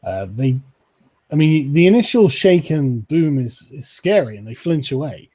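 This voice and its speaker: A man's voice: fairly high pitch, sing-song, moderate pace, mellow. A man narrating a tabletop game as game master, laughing often as he describes scenes.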